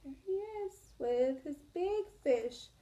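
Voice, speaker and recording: Slow, high-pitched woman reading a storybook. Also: lively intonation, mellow. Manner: explanatory, asks listeners questions. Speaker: children's storybook reader. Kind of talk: read-aloud storytelling for young children.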